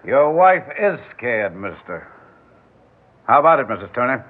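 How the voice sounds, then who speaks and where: On a tinny vintage radio recording, a hard-boiled male police sergeant. His voice is rough-edged and varies widely as he presses bluntly and curtly for answers. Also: mid-range voice, very animated, a little slow. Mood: pressing, stern. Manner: brusque, pressing. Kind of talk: old-time radio drama acting.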